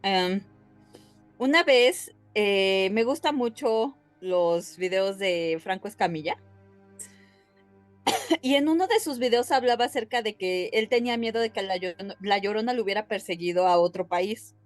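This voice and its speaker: A woman's voice: mid-range voice, lively intonation, measured pace, faint music behind. A woman co-hosting a podcast in a casual, joking chat style. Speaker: podcast co-host.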